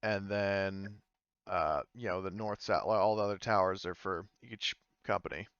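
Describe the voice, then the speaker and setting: A man working as game master, narrating a tabletop game casually and haltingly as he thinks aloud. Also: low voice, steady tone, a bit bright, clean tone, no echo, muffled recording.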